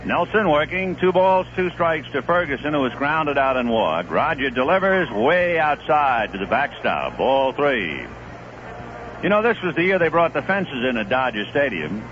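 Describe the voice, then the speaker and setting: Male baseball announcer, conversational and lively, old radio sound. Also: medium pitch, moderate pace. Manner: lively, conversational, reeling off statistics. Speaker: play-by-play announcer. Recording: muffled 1970s radio broadcast with hiss.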